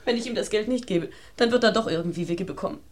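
Actress as a weary, frightened mother, medium-pitched. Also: quick pace, close to an everyday voice. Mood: anxious. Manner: weary, anxious, polite. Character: worn-down, frightened mother being blackmailed. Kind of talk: German radio crime play acting.

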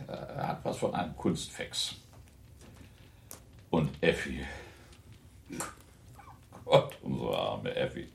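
A man's voice: deep voice, creaky, reverberant, a little hiss or hum, exaggerated voice. An actor playing a genial, teasing older husband and father in a radio drama, musing in a wry, rambling way. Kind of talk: German radio drama acting.

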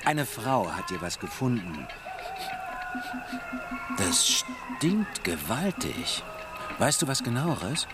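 An actor playing a brusque, impatient police sergeant in a radio drama, curt and demanding. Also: male voice, medium pitch, very animated, slow pace, pauses often, music in the background.

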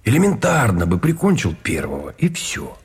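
Radio drama colonel: cold, formal schemer, very raspy lowish voice, measured and secretive. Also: male voice, wildly swooping pitch, exaggerated voice. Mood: insistent, calculating. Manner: measured, formal, hushed and conspiratorial. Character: cold, calculating army colonel, a prisoner plotting murder for duty. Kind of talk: Russian radio drama acting. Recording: studio radio play with faint music behind.

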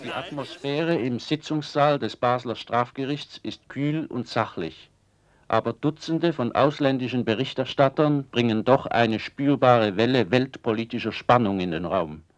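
An actor playing a radio reporter giving a measured, formal 1960s courtroom report in a radio drama. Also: male voice, medium pitch, lightly acted.

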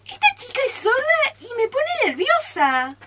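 An indignant, hard-of-hearing old lady from a comic radio play. She speaks slowly in a very high voice, over a noisy recording. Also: wildly swooping pitch, heavily acted. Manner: indignant, mishearing others. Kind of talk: comic radio theatre acting.

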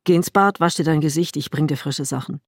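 A woman's voice: sits low, fairly quick, no room sound, clean studio sound. A stern, protective mother. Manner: stern, commanding. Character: strict, protective mother of a young boy. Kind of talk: radio drama acting.

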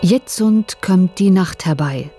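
Female announcer for radio drama titles, lowish voice, clear music bed. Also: lively intonation, slow. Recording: music playing behind voice.

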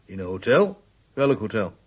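A man's voice: on the low side, sing-song, normal speed, a little mellow, slightly rough. A man playing a probing interrogator in a radio drama, putting pointed, knowing questions. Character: probing adult male interrogator, knowing and persistent.

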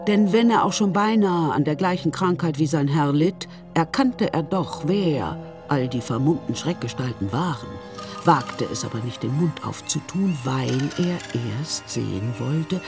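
A woman narrating a radio drama in the past tense, calm and even. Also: low voice, unhurried, music in the background.

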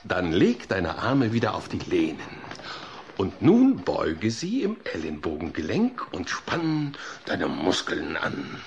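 A man's voice: low voice, slow pace, gravelly, slight background noise, full character voice. A man playing an earnest, fussy psychologist who lectures and coaxes patiently in a German children's audio drama. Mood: coaxing instruction. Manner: didactic, expressive, coaxing.

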